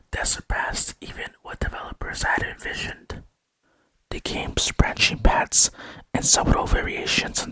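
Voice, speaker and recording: A man narrating a gaming video in a breathy ASMR whisper, speaking softly and in a measured way close to the microphone. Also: extremely high pitch, no echo. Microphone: close microphone, dry sound.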